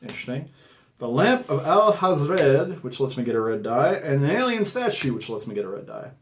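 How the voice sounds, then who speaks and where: A man's voice: a little high, very animated, moderate pace, slight background noise. A man at a game table talking through moves and rules in casual chat. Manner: explains rules and strategy to others. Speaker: board game player and show regular. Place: room around a game table.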